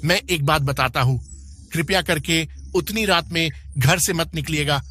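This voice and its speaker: Male actor for middle-aged caretaker in horror tale: deferential, talkative, slightly high voice, repeats himself when cautioning. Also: normal speed, sharp tone, a little hiss or hum. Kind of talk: acted audio horror story dialogue.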